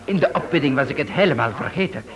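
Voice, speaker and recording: An actor playing a jovial, fussy Spanish guesthouse host who stammers and repeats himself in a radio detective play. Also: male voice, mid-range voice, animated, fast, crowd noise, natural voice. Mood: earnest. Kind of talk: radio detective drama acting. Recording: old radio play, voices murmuring behind.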